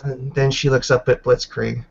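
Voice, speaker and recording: A man improvising tabletop roleplay narration in a halting, descriptive way. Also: medium pitch, level tone, gravelly, breathy edge, dull audio.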